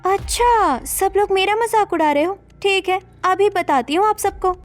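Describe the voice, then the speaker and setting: A woman voicing a mischievous, sharp-tongued young sister of the bride in a cartoon. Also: extremely high pitch, measured pace, soft background music. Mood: indignant. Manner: pert, cheeky.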